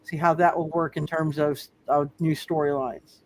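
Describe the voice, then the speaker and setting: A man hosting as game master in casual talk, halting and often repeating himself as he circles back. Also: on the high side, level tone, slight background noise. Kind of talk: casual sign-off talk on live roleplay show.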